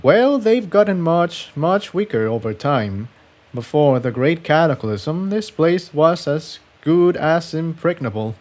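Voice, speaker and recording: A man's voice: a little high, lively intonation, measured pace, darkish tone, pitched above the performer's own voice. A man voicing a skilled, grave male pony magician in a story reading, with a hesitant manner that repeats words. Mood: pensive.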